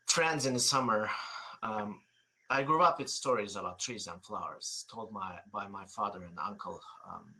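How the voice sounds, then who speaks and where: A man in his forties from Turkey with a Turkish accent, giving an online talk with many pauses and fillers. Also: lowish voice, sharp tone, low-quality recording. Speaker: guest speaker, seed saver. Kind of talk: online presentation.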